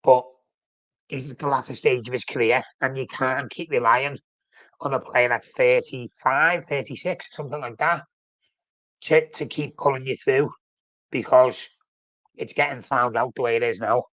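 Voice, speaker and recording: A man's voice: mid-range voice, steady tone, normal speed, fairly bright tone, dry recording, rough recording. A man with a flu, sounding snuffly, hosting a casual live-stream chat about his football club and rambling with frequent self-corrections. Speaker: fan channel host.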